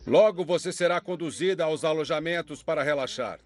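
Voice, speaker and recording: A man's voice: a little high, fairly quick, faint music behind, natural voice. A man playing a cold, menacing camp officer. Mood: falsely polite. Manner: smooth false courtesy turning to barked orders. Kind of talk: dubbed documentary reenactment.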